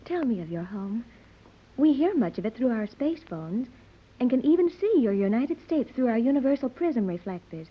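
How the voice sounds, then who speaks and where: A woman's voice: fairly high pitch, sing-song, normal speed, slightly rough, lo-fi sound. A woman playing an earnest, precocious fifteen-year-old girl who speaks in formal, bookish phrases, in 1930s radio serial style. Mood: earnest, matter-of-fact. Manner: formal, bookish, precise wording.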